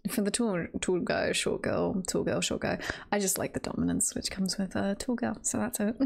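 A woman's voice: mid-range voice, steady tone, unhurried, vocal fry, darkish tone. A woman streamer chatting casually on a livestream, musing in a playful, giggly, hesitant way. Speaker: streamer and voice performer.

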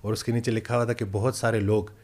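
A man in his forties, a doctor and podcast guest, chatting warmly and often voicing approval, on a studio boom mic. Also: level tone, fast. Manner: warm, exclaims often in approval. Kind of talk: casual podcast chat. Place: indoor studio set. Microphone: studio mic on boom arm.

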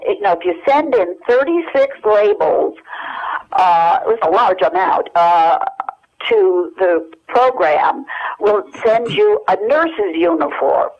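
An older American woman reminiscing as an interview guest, telling anecdotes haltingly over a telephone. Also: mid-range voice, big pitch swings, a little mellow. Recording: dull lo-fi telephone hook-up.

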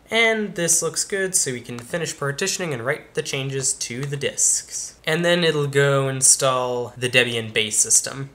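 A young man with a casual, explanatory manner walks viewers step by step through a software install in a tutorial. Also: medium pitch. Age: young adult. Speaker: tech YouTuber. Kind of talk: screen-recorded tutorial walkthrough. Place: small room indoors.

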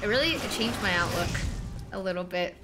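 High-pitched young woman streamer, rambling. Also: expressive, a little fast, loud music behind the voice. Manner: rambling, self-deprecating storytelling with frequent laughs and swearing. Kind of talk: casual gaming stream chat.

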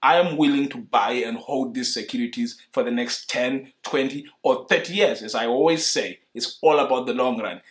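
A young man from Zimbabwe, explaining his investing approach to camera in an earnest, measured way, seated indoors. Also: medium pitch, a bit bright. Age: young adult. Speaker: investing YouTuber. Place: indoor room in front of a leather sofa.

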